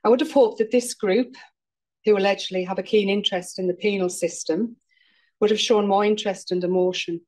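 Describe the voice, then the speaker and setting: A middle-aged woman gives a formal lecture at a podium before a live audience. Her voice is hoarse from a cold, and her delivery is plain-spoken and critical. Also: medium pitch, steady tone, a little fast, a little mellow. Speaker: lecturer, prison governors' association president.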